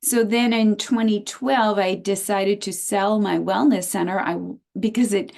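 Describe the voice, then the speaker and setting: A woman's voice: mid-range voice, unhurried, gravelly. American lady in her 60s, naturopath guest, chatty storyteller on a video call. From United States. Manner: chatty, animated storytelling with frequent restarts. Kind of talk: casual interview over video call.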